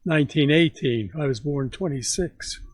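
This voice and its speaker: A man in his nineties telling stories from his youth to his grandson, slow and halting, often repeating words as he begins a sentence. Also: a little high, dry recording. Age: 90s. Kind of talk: casual storytelling interview.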